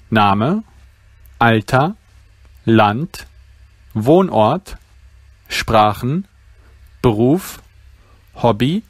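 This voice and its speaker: A man reads out language-learning exercises at a very slow, careful pace, pronouncing each word distinctly. His voice sits at an ordinary middle pitch. The recording is close and dry, with no room sound. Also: dry recording. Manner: slow, deliberate, clearly articulated reading. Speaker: language teacher.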